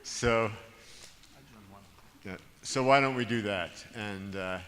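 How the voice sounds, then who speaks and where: A man's voice: fairly low pitch, slow pace, fairly bright tone. Man moderating in an echoing hall. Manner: hesitant, restarts often, chuckles. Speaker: panel moderator. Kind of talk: organizing a group discussion. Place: large conference room. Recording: roomy hall reverb, background hiss.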